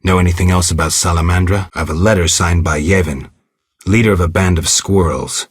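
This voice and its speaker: An actor playing a gruff, cynical mutant monster hunter in a video game, a gravelly, husky voice and a terse, deadpan delivery. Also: male voice, sits low, fairly quick, no echo. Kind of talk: video game character dialogue.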